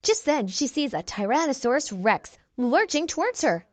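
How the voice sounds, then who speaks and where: High, animated woman storybook narrator. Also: moderate pace, no room sound. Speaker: children's read-aloud narrator.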